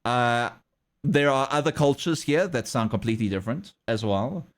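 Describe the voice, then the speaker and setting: A man's voice: mid-range voice, normal speed. A man from South Africa with a South African English accent mixed with Australian and American, rambling and chuckling as he chats casually with his livestream viewers. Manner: rambling, chuckling often, talks back to chat. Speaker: streamer and artist.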